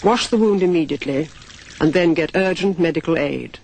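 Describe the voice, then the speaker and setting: A man's voice: on the high side, brisk, creaky, a little hiss or hum. A British man delivering a grave, emphatic scripted public warning.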